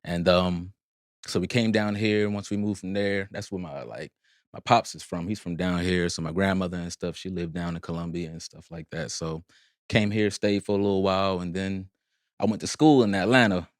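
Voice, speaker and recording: Arabic-native man, podcast guest, low voice, relaxed rambling delivery, dry close-miked sound. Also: moderate pace, no echo. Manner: laid-back, rambling with many fillers. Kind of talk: casual interview chat.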